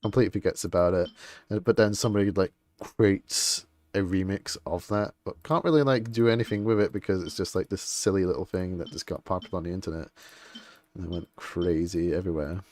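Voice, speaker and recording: A man's voice: low voice, steady tone, brisk, darkish tone. A man streaming a game and chatting casually, rambling through his opinions in an informal, grumbling way.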